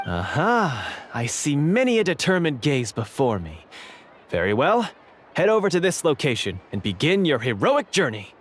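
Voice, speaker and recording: A man's voice: on the high side, huge pitch swings, moderate pace, husky, exaggerated voice. A man playing a courteous, theatrical script manager of a roleplay club in a video game, with a polite delivery. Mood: grandly theatrical.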